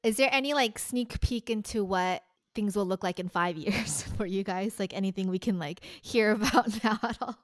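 A woman's voice: mid-range voice, normal speed, few pauses, no echo, studio quality. A woman interviewer asking questions in a casual, upbeat way, with lots of "like" fillers and laughs. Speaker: podcast interviewer.

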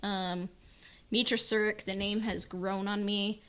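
A woman's voice: mid-range voice, little pitch movement, unhurried, a bit bright, clean tone. A woman in casual discussion as a podcast panelist, giving opinions in a hesitant, hedging, informal way. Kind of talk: casual chat, opinion on a book.

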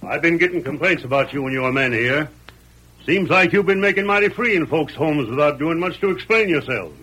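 A husky, stern frontier sheriff. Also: male voice, fairly high pitch, expressive, fairly quick, sharp tone, exaggerated voice, low-quality recording. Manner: drawling, authoritative. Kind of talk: 1930s radio drama acting.

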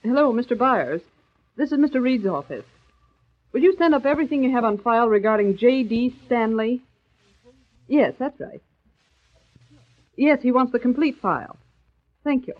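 A woman playing an efficient, tart newspaper secretary, brisk and wry, in 1940s radio drama style. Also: a little high, expressive. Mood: polite, efficient. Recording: tinny, faintly noisy old radio recording.

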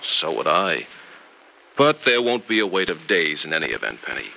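An actor playing a concerned, steady male friend bringing news in an old-time radio soap opera, on a noisy vintage recording. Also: deep voice, normal speed, gravelly, heavily acted. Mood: earnest, reassuring.

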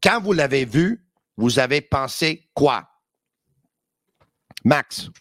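A man with a Quebec French accent hosting a sports podcast, lively and joking, with quick asides. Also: mid-range voice, no room sound, clean studio sound. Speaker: podcast host. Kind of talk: casual sports talk.

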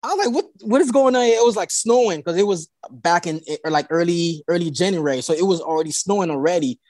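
Casting: man from Haiti, fairly high voice, informal halting storyteller who repeats himself, dry close sound. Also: a little mellow, no echo. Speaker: interview guest. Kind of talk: casual personal storytelling.

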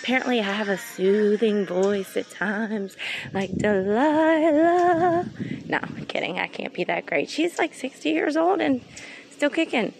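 Woman vlogger, mid pitch, gravelly edge, rambling motivational faith talk, faint music under. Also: animated, unhurried, a little mellow. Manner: heartfelt, rambling, encouraging. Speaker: vlogger and mom.

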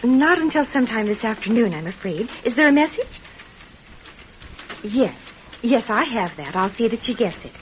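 Flustered, high-voiced young secretary. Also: female voice, big pitch swings. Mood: polite, apologetic. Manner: polite, chatty, halting when flustered. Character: young new secretary, flustered, eager, apologetic. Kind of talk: vintage-style radio drama acting. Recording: muffled, noisy radio drama recording.

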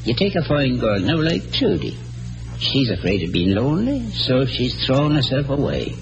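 A wily old Irish country doctor with a very animated, swooping delivery. He blusters and wheedles theatrically in the style of classic radio drama. Music plays clearly in the old broadcast. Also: medium pitch, normal speed, poor audio. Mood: wistful. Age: older. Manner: wheedling, theatrical, hesitating for effect.

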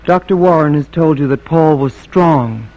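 A woman's voice: deep voice, moderate pace, dark tone, lo-fi sound, natural voice. An actress playing an anxious, strong-willed, middle-aged colonial wife and mother, speaking with emphatic repetition in an old-time radio drama. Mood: wry, reflective. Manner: emphatic, repeating phrases for weight.

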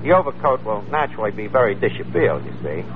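Sardonic, swooping New York wisecracker. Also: male voice, fairly low pitch, very animated, fast talker. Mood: sardonic, breezy. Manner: sardonic, mock-refined, mangles grammar and fancy words. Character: wisecracking, pretentious lowbrow New York tavern manager. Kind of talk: 1940s radio comedy acting. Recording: tinny, noisy 1940s radio recording.

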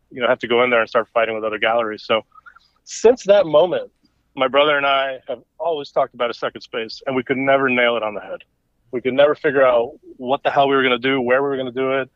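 A man's voice: medium pitch, no echo. Rambling man, dull lo-fi sound. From El Salvador. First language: Spanish. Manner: rambling, frequent fillers and restarts. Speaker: gallery owner, guest. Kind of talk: casual conversation. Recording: dull lo-fi audio.

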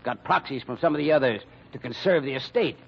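An actor playing a dour, pessimistic company treasurer who grumbles through an American old-time radio mystery. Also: male voice, medium pitch, quick pace, gravelly, slight background noise, lightly acted. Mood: bitter. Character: sour, gloomy, grumbling male company treasurer. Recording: dull vintage radio recording.

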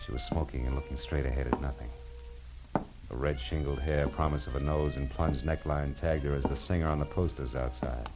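A deep-voiced wry private eye. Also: male voice, steady tone, brisk, over music. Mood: wry narration. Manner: wry, wisecracking. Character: wry, hard-boiled male private detective. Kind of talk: 1940s radio detective drama acting, with narration. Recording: old radio drama with musical underscore.

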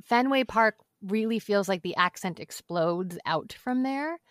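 A woman's voice: medium pitch, lively intonation, unhurried, no echo, clean studio sound. A woman from Massachusetts chatting casually as a podcast guest, hesitant with lots of fillers. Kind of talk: casual chat.

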